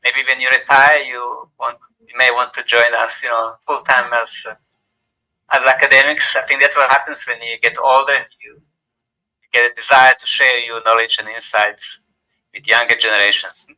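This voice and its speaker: An older man with a Croatian accent giving a formal vote of thanks at an event, speaking haltingly and repeating words as he goes. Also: mid-range voice, level tone, sharp tone, rough recording. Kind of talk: formal closing remarks at an event.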